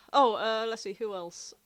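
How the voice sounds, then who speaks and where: A woman's voice: on the high side, steady tone, takes it slowly. A woman co-hosting a podcast, chatting casually with jokey, sweary banter. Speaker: podcast co-host. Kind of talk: casual banter about a TV show.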